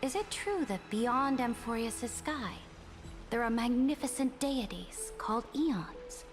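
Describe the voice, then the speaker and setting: A woman playing an imperious, ambitious empress in a video game, speaking in a regal, formal, theatrical way with amused superiority. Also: a little high. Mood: intrigued, probing.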